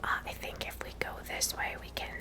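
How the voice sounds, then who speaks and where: An ASMR host in an unvoiced, breathy whisper, casually thinking aloud while playing through a game, unhurried and close to the microphone. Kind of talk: whispered ASMR game playthrough, unscripted commentary.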